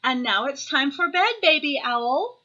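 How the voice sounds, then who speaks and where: A woman voicing a gentle, affectionate mother owl in a storybook, speaking in a soothing, motherly way. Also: high voice, lively intonation, measured pace, dull audio, pitched above the performer's own voice, heavily acted. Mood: tender.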